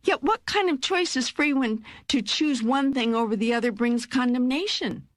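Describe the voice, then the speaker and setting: A woman narrating an audiobook, voicing God as a serene, patient, all-knowing teacher in a measured, deliberate, emphatic way. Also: sits high, no echo, clean studio sound, natural voice. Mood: earnest, questioning. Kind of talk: scripted audiobook reading of spiritual dialogue.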